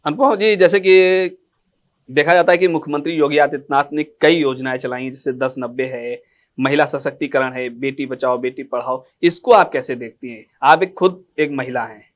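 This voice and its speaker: A man's voice: mid-range voice, moderate pace. A man hosting a formal news interview.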